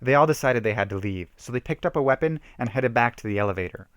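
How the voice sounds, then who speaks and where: A man reads a horror story aloud in an amateur, theatrical way. His voice sits at a middle pitch, and the sound is dry and close, with almost no room on it. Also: lively intonation, fast, a bit bright, clear, resonant tone, dry recording. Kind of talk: amateur dramatic reading of a homemade horror story.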